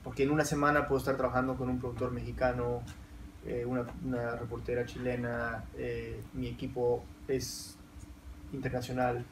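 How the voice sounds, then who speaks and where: A man's voice: medium pitch, completely flat delivery, moderate pace. A man with a Peruvian accent answering questions on a university panel, thoughtful, with frequent restarts and fillers. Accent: Peruvian Spanish. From Peru. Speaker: panel guest writer. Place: university lecture room, seated at panel table. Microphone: table stand mic heard from far camera. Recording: roomy, distant, faint hiss.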